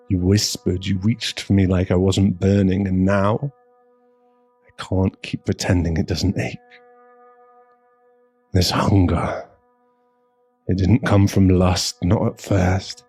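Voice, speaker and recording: A man performs a battle-scarred warrior lover for an ASMR roleplay, his voice very low and dark. He murmurs devotedly and with aching intensity, drawing out slow phrases in a husky, breathy hush. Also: no echo, heavily acted. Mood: yearning, confessional. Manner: slow, hushed, intimate, dramatic pauses. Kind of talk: ASMR boyfriend roleplay monologue.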